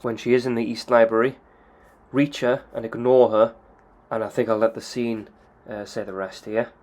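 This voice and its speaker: A man's voice: medium pitch, flat delivery, moderate pace, a little mellow, a little hiss or hum. A man hosting a video game channel, giving plain, instructional walkthrough narration.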